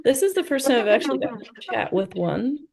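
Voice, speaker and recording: A woman's voice: a little high, sing-song, quick pace, vocal fry, crowd noise. A woman with a Midwestern accent from rural Indiana, co-hosting a book podcast in an upbeat, chatty way. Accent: Midwestern American. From rural Indiana, USA. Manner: upbeat and chatty, with frequent laughs. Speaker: podcast co-host. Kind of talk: casual podcast chat.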